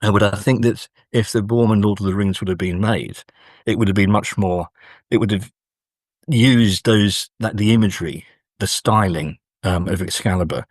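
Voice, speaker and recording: Low-voiced male academic, halting and thoughtful. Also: no echo, studio quality. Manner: halting, thoughtful, with many pauses mid-sentence. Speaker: guest academic and author. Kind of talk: interview discussion, scholarly explanation.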